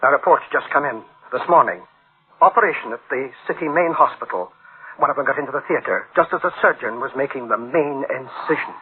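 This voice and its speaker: A man's voice: medium pitch, expressive, a little fast, close to an everyday voice. An actor playing a troubled scientist brooding over his invention in a radio drama, with clipped dramatic phrasing on a tinny recording. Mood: alarmed. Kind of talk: dramatic radio play acting.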